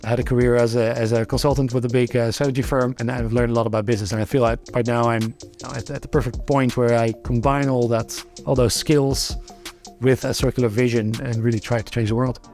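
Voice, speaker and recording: Dutch-accented man, calm founder. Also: mid-range voice, a little fast, faint music behind. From Netherlands. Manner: thoughtful, many restarts and fillers. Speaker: founder of a bicycle company. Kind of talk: documentary interview.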